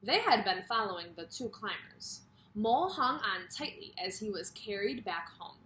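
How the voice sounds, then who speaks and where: A woman's voice: medium pitch, big pitch swings, moderate pace, a bit bright, slight background noise, poor audio. A woman kindergarten teacher reading a story aloud expressively and putting questions directly to young children.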